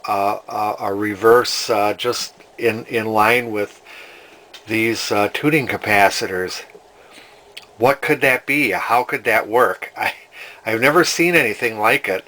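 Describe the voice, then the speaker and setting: A man's voice: lowish voice, pushed and bright. A man giving casual hands-on repair commentary as a hobbyist, hesitantly thinking aloud as he goes. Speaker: hobbyist radio restorer presenting a repair video.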